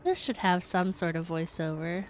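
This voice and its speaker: A woman's voice: lowish voice, moderate pace, faint music behind. A woman streaming a video game, chatting casually and reading the game text aloud. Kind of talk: casual gameplay commentary.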